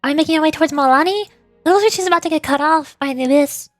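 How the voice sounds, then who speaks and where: A woman's voice: sits high, fast talker, no echo, faint music behind. A woman doing casual livestream commentary on a game, chatty and playful as she talks to her viewers. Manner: chatty, reacting to chat and playfully calling out moves. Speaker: VTuber streamer playing a game.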